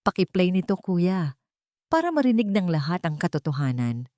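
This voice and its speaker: A woman voicing a calm, steadfast young woman who quietly challenges her accusers in an audio story. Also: lowish voice, normal speed, dry recording, clean studio sound. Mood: calm defiance.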